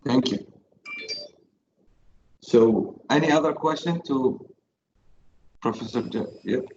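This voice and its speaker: A man's voice: mid-range voice, slow, darkish tone. A man moderating a webinar, asking questions hesitantly with many uh's and repeats. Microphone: video call. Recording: distant dull call audio, faint noise.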